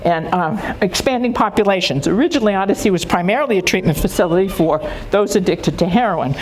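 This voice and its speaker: A woman's voice: medium pitch, animated, fast, darkish tone, slight background noise, poor audio. Older woman reminiscing at a conference. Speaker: conference speaker. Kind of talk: conference talk. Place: conference hall.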